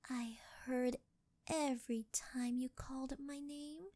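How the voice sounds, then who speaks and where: Woman playing a very high-pitched, sweet girlish succubus. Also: fairly even intonation, no room sound. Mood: coy teasing. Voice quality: soft, breathy, girlish. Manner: coy, halting, intimate, often dropping into whispers. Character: sweet, shy, clingy female angel turned succubus. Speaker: ASMR roleplay performer. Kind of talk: ASMR girlfriend roleplay. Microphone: close ASMR microphone, dry sound.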